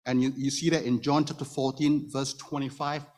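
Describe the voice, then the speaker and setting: A man's voice: mid-range voice, flat delivery, a little fast, a little mellow. Male preacher in a reverberant hall. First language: Hokkien Chinese. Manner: explanatory, with rhetorical questions to listeners. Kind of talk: expository sermon to a congregation. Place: church room before a congregation. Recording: reverberant hall, long echo.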